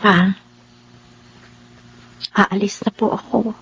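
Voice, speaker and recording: An actress playing a determined young woman, speaking in a measured, heartfelt radio drama style. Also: medium pitch, steady tone, a bit bright, husky, lo-fi sound, heavily acted. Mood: earnest, pleading. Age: young adult. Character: earnest, determined young daughter turned nurse. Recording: music under the voice.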